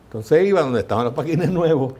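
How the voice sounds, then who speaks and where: An older man with a Puerto Rican accent, a guest telling lively stories in an interview. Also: fairly high pitch, brisk, breathy edge. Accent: Puerto Rican Spanish. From Puerto Rico. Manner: lively anecdotal storyteller, mimics voices in quotes. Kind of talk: storytelling interview.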